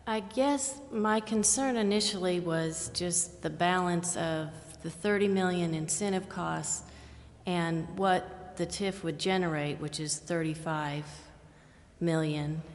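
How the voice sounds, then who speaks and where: A woman's voice: lowish voice, fairly even intonation, measured pace. A woman committee member voicing a concern at a meeting, thinking aloud tentatively. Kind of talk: meeting discussion. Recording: council chamber with long reverb.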